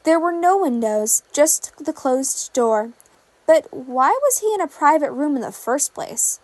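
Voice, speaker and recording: A woman narrates a scripted story in a high voice. The sound is dry and close, as an amateur audio fiction reading with no room around it. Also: animated, measured pace, dry recording, poor audio. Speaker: fan fiction reader.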